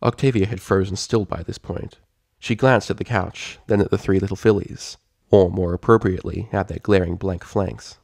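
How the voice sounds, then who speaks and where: A man's voice: sits low, steady tone, normal speed, vocal fry, darkish tone, dry recording. A man reading fan fiction aloud as a scripted, dramatic story narrator.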